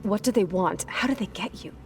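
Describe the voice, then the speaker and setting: A woman's voice: medium pitch, brisk, soft background music, close to an everyday voice. A woman acting a determined young warrior heroine in a video game, direct, practical and plain-spoken in a naturalistic style. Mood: probing, serious.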